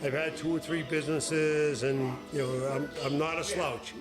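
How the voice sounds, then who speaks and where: A man, a podcast guest telling casual stories in a blunt, profane way with halting restarts. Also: a little high, steady tone. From Boston, Massachusetts. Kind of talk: casual storytelling. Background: music.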